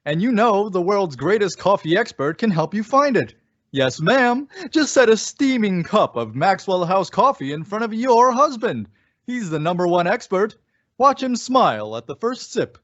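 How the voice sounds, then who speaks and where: A man's voice: high-pitched, very animated, normal speed, a bit bright, dry recording. A man announcing and reading ads in a polished, emphatic old-time radio style. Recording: dull lo-fi radio sound, music behind.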